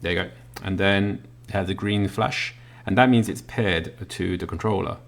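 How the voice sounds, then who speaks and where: A man reviewing a product in a casual hands-on demonstration, explaining it step by step in a halting way. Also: low voice, vocal fry. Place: indoors at a work desk.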